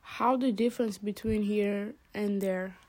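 A woman's voice: mid-range voice, fairly even intonation, unhurried, darkish tone. A teenage girl interviewing a fellow student for a school podcast, asking short, plain questions. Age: teens.

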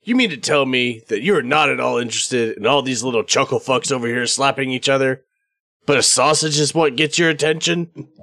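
A man's voice: mid-range voice, fairly quick, pushed and bright, dry recording. Guy doing a cocky wisecracking adventurer, dry close sound. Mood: incredulous. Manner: brash, wisecracking. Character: brash, audacious male adventurer. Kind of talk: in-character roleplay.

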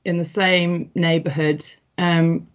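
Chatty woman, lower voice. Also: little pitch movement, slow. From North West England. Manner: chatty, reflective, with frequent ums and restarts. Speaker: interviewee. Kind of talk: personal interview. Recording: muffled, dull audio.

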